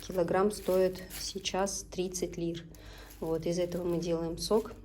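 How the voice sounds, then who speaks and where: Chatty Tatar-born woman, lowish voice. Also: level tone, unhurried, warm tone, a little hiss or hum. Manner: chatty and homely, often breaks off to instruct her children. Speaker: vlogger and mother. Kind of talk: casual home vlog chat while cooking with kids. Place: home kitchen.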